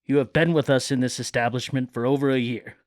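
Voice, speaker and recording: An actor playing a formal factory manager who dismisses a worker in a curt, cold, formal way. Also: male voice, mid-range voice, steady tone, fast talker, no echo, studio quality, close to an everyday voice. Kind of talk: amateur radio play acting.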